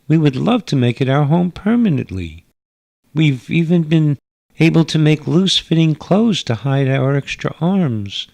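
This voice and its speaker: A man's voice: on the high side, creaky, dry recording, voice pushed up, full character voice. A man voicing a courteous, worried alien mother who speaks formally and pleadingly in a radio sci-fi story. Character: polite, worried alien mother with four arms.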